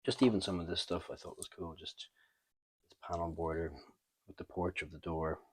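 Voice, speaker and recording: Very deep-voiced man, low-key and monotone. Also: normal speed, a little hiss or hum. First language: not French. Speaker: host of a comic book review show. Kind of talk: casual unscripted show-and-tell commentary.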